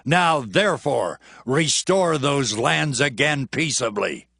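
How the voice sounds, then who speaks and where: A man's voice: medium pitch, expressive, slow pace, vocal fry, pushed and bright, no room sound, clean studio sound, heavily acted. A man playing a proud, aggrieved enemy king in a dramatized Bible, speaking in an imperious, emphatic way.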